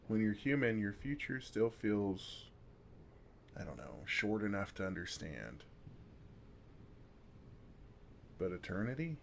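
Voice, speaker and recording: A low-voiced, gravelly older vampire played by a man in an intimate ASMR roleplay. He is gentle and wry, talking with unhurried pauses and a dry, self-deprecating humor. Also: a little hiss or hum. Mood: wistful. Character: kind, wry older male vampire comforting a fledgling.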